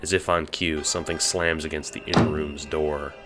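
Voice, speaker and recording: A man's voice: rumbling low, level tone, moderate pace, fairly bright tone, soft background music. A man narrating a scripted audio drama in the second person, in storytelling style.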